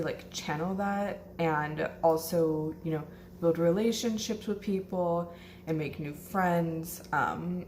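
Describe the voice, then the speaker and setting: A young woman talks through her week in a chatty, upbeat vlog, a little hoarse and fond of fillers. Her voice sits fairly low. It rings in an echoey home kitchen with faint background noise. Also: a little mellow. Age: 20s. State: slightly hoarse. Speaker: law student vlogger. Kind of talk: casual vlog chat.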